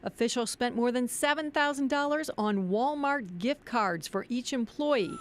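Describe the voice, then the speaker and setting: A woman's voice: medium pitch, slight background noise. A woman with an American accent delivering a scripted TV news report as an investigative reporter, with pointed questions.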